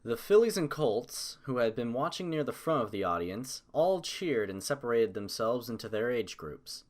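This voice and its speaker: A man's voice: medium pitch, sing-song, normal speed. A man narrating a scripted story as an audiobook reader.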